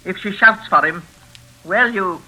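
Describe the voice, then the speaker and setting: An actress playing a deranged older mistress of a lonely house in a 1940s radio thriller, with genteel, deliberate menace. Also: female voice, mid-range voice, bright, forceful tone, faint music behind. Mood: quietly threatening. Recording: crackly 1940s radio broadcast.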